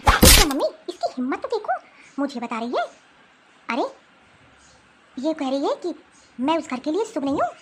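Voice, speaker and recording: Woman playing a high-pitched spiteful sister-in-law. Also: big pitch swings, moderate pace, long pauses, very noisy. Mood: indignant. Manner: taunting, accusatory, complaining. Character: spiteful, meddling adult sister-in-law, scornful troublemaker. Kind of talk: Hindi cartoon family drama acting.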